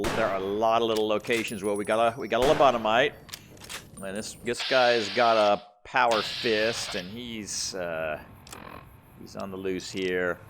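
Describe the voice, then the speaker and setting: A man's voice: fairly low pitch, noisy background. A man giving casual gameplay commentary as a channel host, rambling and thinking aloud.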